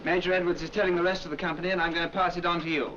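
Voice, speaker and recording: An actor playing a brisk, genial British army officer in a 1940s war film, encouraging his men. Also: high-pitched, level tone, lightly acted. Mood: confident, encouraging. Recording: noisy muffled 1940s film soundtrack.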